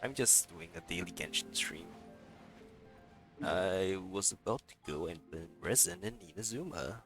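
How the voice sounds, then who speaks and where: Animated young man with a bright voice. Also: deep voice, a little slow. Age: young adult. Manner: animated, chatty, reacting to gameplay. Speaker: game livestreamer. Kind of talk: casual livestream chat while playing. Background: video game music.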